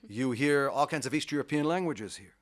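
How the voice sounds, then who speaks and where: A man's voice: mid-range voice, lively intonation, moderate pace. A middle-aged man, a university vice president, talking enthusiastically and at length in a radio interview, with the odd restart. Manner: enthusiastic, talkative, sports metaphors, occasional restarts.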